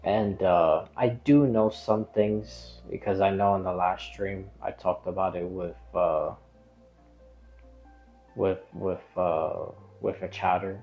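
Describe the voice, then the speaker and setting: A man's voice: low voice, steady tone, slow pace, lo-fi sound. A man chatting casually as a game streamer, rambling and hesitant, often trailing off and drifting onto tangents. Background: background music.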